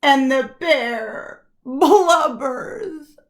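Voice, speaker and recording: Woman, teacher reading a picture book, very slow, animated intonation, dramatic pauses. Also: high voice. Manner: deliberate, dramatic pauses and emphasis. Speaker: kindergarten teacher. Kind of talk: expressive children's storybook read-aloud.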